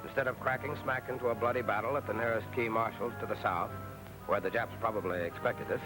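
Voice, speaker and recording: A man's voice: lowish voice, fairly even intonation, fast, music in the background. An American man narrating a scripted 1940s wartime newsreel in a punchy, colloquial, patriotic style. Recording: noisy 1940s film soundtrack with artillery.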